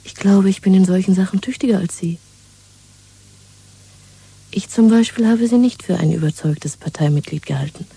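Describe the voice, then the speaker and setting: Very soft, breathy secret rebel. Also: female voice, medium pitch, moderate pace, long pauses, dry recording, rough recording. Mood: cool, teasing. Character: bold, secretive young woman rebel in love. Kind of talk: intimate 1970s German radio drama acting.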